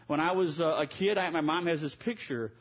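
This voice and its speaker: A man's voice: a little high, fairly quick. A man preaching a sermon in a conversational way, mixing scripture reading with self-mocking asides. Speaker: pastor.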